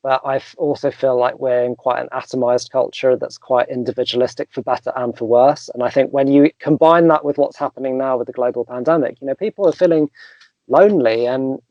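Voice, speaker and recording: A young man, a coach guest in an interview, talking thoughtfully with many pauses, fillers and restarts. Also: mid-range voice, steady tone, darkish tone, dry recording, dull audio. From England. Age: young adult. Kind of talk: casual interview chat.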